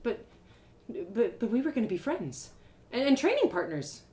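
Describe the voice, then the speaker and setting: A woman's voice: on the high side, sing-song, moderate pace, darkish tone. A woman voicing an eager, earnest young adventurer girl in a fantasy story. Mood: pleading.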